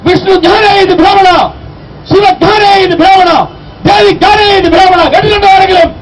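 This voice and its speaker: A man's voice: very high-pitched, level tone, a little fast, creaky. A man giving an impassioned public address, exhorting listeners with rhetorical questions in a declamatory manner. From Kerala, India. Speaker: activist, public speaker. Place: outdoor street rally. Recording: distant mic, outdoor street noise.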